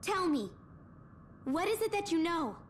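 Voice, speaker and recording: An actress playing a gentle, resolute young heroine in a video game, sincere and pleading. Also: female voice, very high-pitched, very animated, measured pace, slight background noise, exaggerated voice. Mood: solemn. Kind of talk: video game drama acting.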